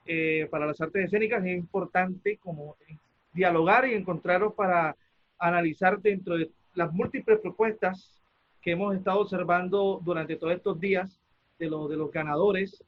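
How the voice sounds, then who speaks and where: A man with a Colombian accent moderating an arts presentation, speaking off the cuff in a halting, formal way as he searches for words. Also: high-pitched, narrow, tinny audio. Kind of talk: spontaneous formal event introduction.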